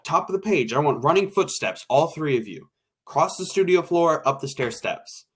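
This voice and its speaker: A man's voice: fairly high pitch, big pitch swings, moderate pace, breathy edge. A man playing an impatient radio director in a 1940s-style drama, brusque, slangy and commanding. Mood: impatient, bossy.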